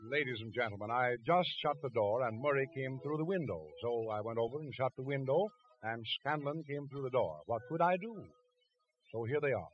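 A man's voice: medium pitch, lively intonation, brisk. Dull vintage recording of a male announcer. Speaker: radio show announcer. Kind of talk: old-time radio announcing.

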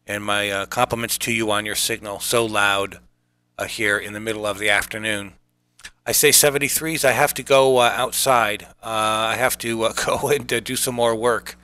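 A man speaking as an amateur radio operator in a casual contact, with measured, hesitant phrasing. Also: on the low side, steady tone, sharp tone, clear, resonant tone.

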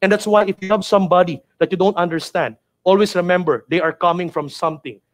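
A man's voice: high-pitched, fast talker. A Filipino man in his thirties with a Filipino accent, a native Tagalog speaker, giving a motivational training talk in an emphatic, repetitive, urging manner. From Philippines. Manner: emphatic, repetitive, preacher-like urging with rhetorical questions. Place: indoor studio with virtual backdrop. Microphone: headset mic.

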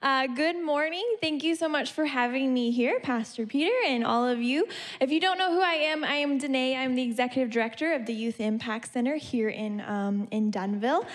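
A young woman with a Canadian accent, a youth center director speaking earnestly and softly into a handheld mic at a church, with many ums. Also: high voice, brisk. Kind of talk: guest talk at church. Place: church sanctuary stage.

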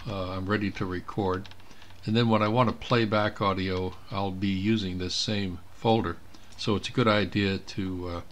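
A man giving an informal step-by-step software demonstration, thinking aloud as he clicks through each step. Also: fairly low pitch, a little hiss or hum. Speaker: presenter of a software tutorial. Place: indoors at a computer.

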